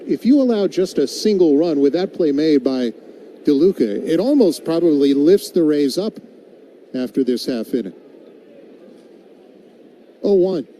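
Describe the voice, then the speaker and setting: A man's voice: on the high side, warm tone. A man doing live radio play-by-play commentary of a baseball game as the announcer. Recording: background noise of an outdoor ballpark.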